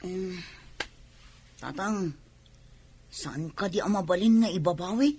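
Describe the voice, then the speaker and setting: An actress playing a reluctant, upset young bride in an Ilocano radio drama, with an Ilocano accent. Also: female voice, on the low side, slow, mellow, slightly rough, full character voice.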